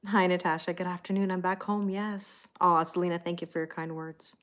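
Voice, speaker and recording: A woman's voice: fairly low pitch, little pitch movement, a little fast, a bit bright. A middle-aged woman hosting a tarot livestream, chatting casually and thanking viewers by name.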